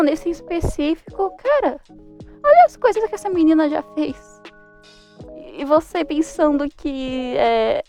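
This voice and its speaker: Young woman, Brazilian accent, high-pitched, informal expressive commentary, faint background music. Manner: informal, expressive, exclaiming in mock disbelief. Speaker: manhwa reviewer. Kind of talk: casual review commentary.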